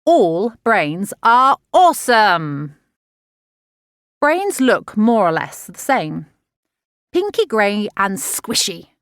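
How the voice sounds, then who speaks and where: A woman reading a children's nonfiction audiobook in an expressive, measured, explanatory way. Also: mid-range voice, no room sound, clean studio sound. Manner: clear, measured, expressive teaching delivery for children. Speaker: author narrating own audiobook.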